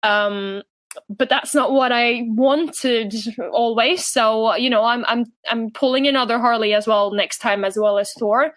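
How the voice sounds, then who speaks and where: A woman's voice: on the high side, steady tone, a little slow, fairly bright tone, no echo. A woman from Norway with a Norwegian accent, chatting casually as a podcast guest, with frequent ums and restarts. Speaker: guest cosplayer.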